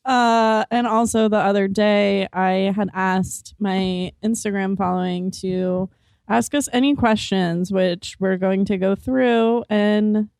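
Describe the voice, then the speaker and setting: A woman co-hosting a casual podcast, chatty, laughing often and filling pauses with "um". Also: medium pitch, fairly even intonation, vocal fry, darkish tone, clear, resonant tone, no room sound, clean studio sound. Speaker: podcast co-host.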